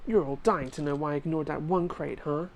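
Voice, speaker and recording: A woman's voice: sits low, steady tone, fairly quick, a little hiss or hum. A woman voicing a boastful, self-important male security guard in a fan-dubbed game.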